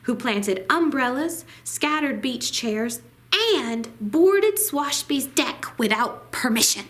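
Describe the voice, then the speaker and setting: A woman reading a children's picture book aloud at storytime, with deliberate, dramatic phrasing. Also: high-pitched, animated, fairly bright tone, slight background noise. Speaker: library storytime reader.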